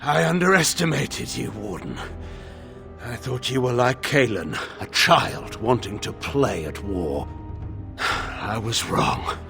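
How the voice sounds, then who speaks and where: A proud, grim warlord of middle age, speaks with a gravelly, slow and imperious delivery. His oratory is theatrical, swinging widely in pitch, in the manner of a fantasy game epic. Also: big pitch swings, music in the background, full character voice. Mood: weary, conceding. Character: proud, embittered middle-aged warlord general, commanding and grim. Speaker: voice actor in a fantasy role-playing game. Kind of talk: dramatic fantasy game cutscene acting.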